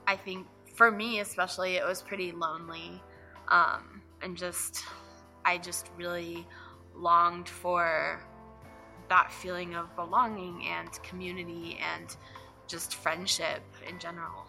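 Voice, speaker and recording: A young adult woman from Nevada reflecting softly on her church testimony in an interview, with fillers and pauses. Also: on the low side, flat delivery, creaky, soft background music. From Reno, Nevada. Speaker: church member giving testimony.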